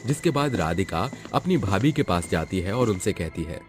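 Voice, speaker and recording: A man's voice: low-pitched, big pitch swings, normal speed, soft background music. A man reading a scripted moral story as its narrator.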